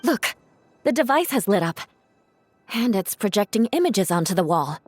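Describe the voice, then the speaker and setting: A woman voicing a calm, caring and analytical companion in a video game, who speaks in a measured way. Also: mid-range voice. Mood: observant.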